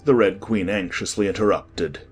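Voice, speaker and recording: Female narrator, extremely deep voice, scripted storybook reading, faint music underneath. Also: moderate pace, husky.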